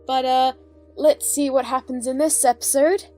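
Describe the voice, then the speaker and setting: A woman's voice: high-pitched, steady tone, moderate pace, dark tone, soft background music. A woman giving casual, off-the-cuff commentary as the host of an online reaction.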